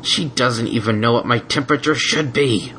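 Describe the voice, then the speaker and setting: A man's voice: medium pitch, normal speed, pushed and bright, slight background noise, lightly acted. A man voicing a sulky, grumbling baby boy dragon who whines and complains indignantly in a storybook reading. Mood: sullen.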